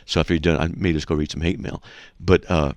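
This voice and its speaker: Man, radio call-in host, low creaky voice, animated storytelling, frank casual teaching, close dry sound. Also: fast talker, dry recording. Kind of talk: casual conversational teaching and anecdotes.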